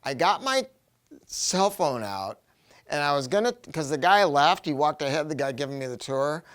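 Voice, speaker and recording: Animated American man reviewing a product. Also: on the high side, moderate pace. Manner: enthusiastic, colloquial, emphatic, rambling into anecdotes. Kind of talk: casual product review to camera.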